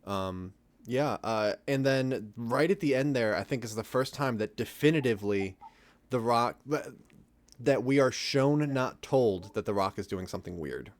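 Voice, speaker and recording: A man's voice: mid-range voice, moderate pace. A man, a voice actor, talking casually in a group commentary on an audio drama.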